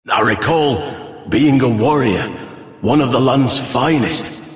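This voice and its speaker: An actor playing a resolute, wistful veteran warrior who has lost his memory, speaking in a solemn, measured way for a video game. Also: mid-range voice. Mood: wistful resolve. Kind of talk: scripted video game character monologue. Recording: echoey reverberant hall effect.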